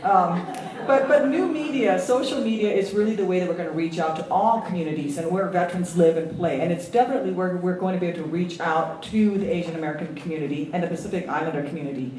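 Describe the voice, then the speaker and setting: A woman with a slight Hawaiian accent speaking on a panel as a federal agency official, earnest and halting, with many ums and restarts. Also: lowish voice, darkish tone, roomy sound, recorded from a distance, rough recording. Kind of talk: panel remarks. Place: event hall at a symposium.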